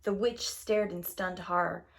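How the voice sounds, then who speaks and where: A woman storyteller reading scripted fairy-tale narration to young children with expressive, dramatic phrasing. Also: fairly low pitch, unhurried, gravelly. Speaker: storyteller, preschool teacher.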